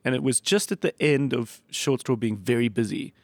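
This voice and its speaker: A middle-aged South African man with a slightly croaky voice, hosting a casual chat and telling anecdotes in a self-deprecating way. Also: medium pitch, normal speed, no echo. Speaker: podcast host.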